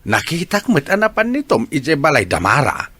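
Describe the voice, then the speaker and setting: A man's voice: on the high side, wildly swooping pitch, moderate pace, bright, forceful tone, breathy edge, no room sound, heavily acted. A man playing a crafty, materialistic, teasing old husband in a radio drama, with an Ilocano accent. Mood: teasing, cynical.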